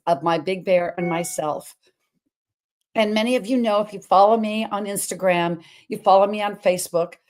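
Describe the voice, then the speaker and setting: A middle-aged woman with a big, full voice hosts her own show in an energetic, emphatic way. She talks freely and wanders from one point to another, with a pitch that sits right in the middle for a woman. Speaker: host. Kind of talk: personal talk-show monologue.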